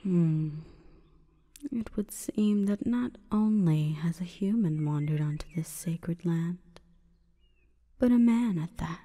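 A woman voicing an imperious, calm forest goddess in a breathy, whispering ASMR roleplay, with stately, measured delivery. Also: fairly low pitch. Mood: cold, commanding. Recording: long reverberant echo.

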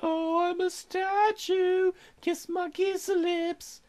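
A man voicing a silly, flirty female statue in a slow, stretched falsetto during a game. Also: level tone, a little mellow. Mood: silly flirting.

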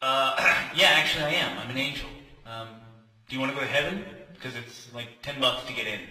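A man's voice: mid-range voice, a little fast, bright, forceful tone, breathy edge, low-quality recording. A man voicing an irritable, sarcastic young soldier who has become a ghost, in conversational, exasperated cartoon comedy dialogue. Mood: deadpan, sly.

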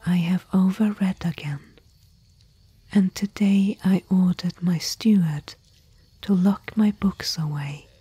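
A woman playing a thoughtful, bookish expedition companion in gentle, musing, whispery ASMR roleplay. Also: lowish voice, steady tone, slow pace, no echo, heavily acted. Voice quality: breathy, whispery. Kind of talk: soft-spoken ASMR roleplay.

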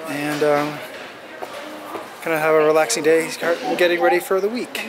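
A man's voice: on the high side, steady tone, moderate pace. A man in his thirties chatting casually to a handheld action camera, tired and rambling with fillers. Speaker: vlogger. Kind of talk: casual vlog chat. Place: indoors, store and then home. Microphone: action camera held at arm's length.

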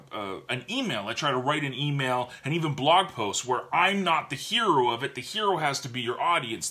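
Man in his thirties, bright voice, casual webcam book review, lively gestures, home office. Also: mid-range voice. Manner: animated, gestures a lot, repeats words while thinking. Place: home office with bookshelves, indoors. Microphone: webcam at desk.

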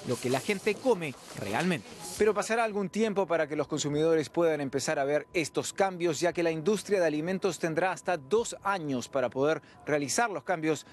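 A man's voice: a little high, moderate pace, slight background noise. A man working as a TV news correspondent, narrating a scripted report.

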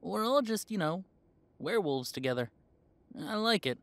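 A man's voice: high voice, big pitch swings, moderate pace, no echo. A man playing a young werewolf in a conversational audio roleplay, talking in a casual, earnest way. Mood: relaxed, earnest. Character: easygoing young male werewolf, defensive with parents.

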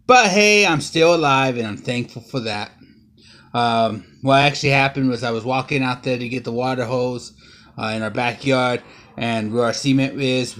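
A man in his thirties, bruised and sore after a fall, rambling through a casual haul vlog at home with plenty of ums and uhs, recorded by a close low-angle camera. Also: a bit bright. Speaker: vlogger. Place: living room at home.

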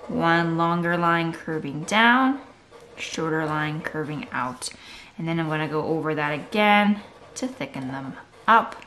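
A woman's voice: low voice, expressive, a little slow, fairly bright tone, slight background noise. A woman art teacher giving a step-by-step drawing tutorial for children, in simple, encouraging instructional phrases.

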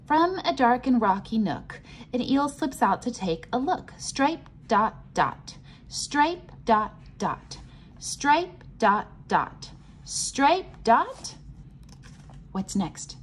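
A woman reading a picture book aloud to children and explaining it simply, in a sing-song, teacherly way with clear enunciation. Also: sits high, big pitch swings, extremely slow, slight background noise. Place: indoors, at a table.

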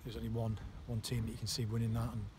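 A man, a football manager giving a post-match interview outdoors at night, halting with many fillers. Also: on the low side, flat delivery. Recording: outdoor noise behind voice.

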